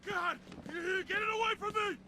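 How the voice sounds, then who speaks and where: A man's voice: extremely high pitch, steady tone, a little slow, noisy background. An actor playing a terrified man in a video game, shrieking frantically at a monster. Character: panicking male victim terrified of a monster.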